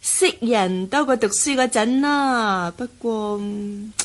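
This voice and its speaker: High-toned glamorous young model. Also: female voice, takes it slowly. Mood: confiding. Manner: chatty, familiar, confiding. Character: spoilt, inquisitive glamorous young female advertising model. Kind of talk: Cantonese radio drama acting.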